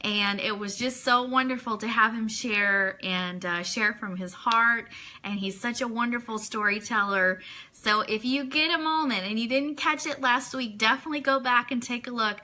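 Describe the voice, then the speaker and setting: Female host for an informal fan show: fairly high, very bright voice, chatty and enthusiastic. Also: moderate pace. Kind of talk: casual fan show hosting, chatty and ad-libbed.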